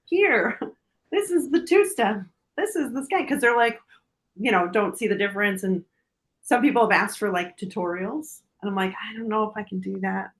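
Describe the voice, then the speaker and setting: Female, 40s, podcast guest. Average pitch, conversational, giggly delivery. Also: animated, moderate pace. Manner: giggly, laughs often while talking. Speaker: podcast guest, dancer and influencer. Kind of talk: casual chat.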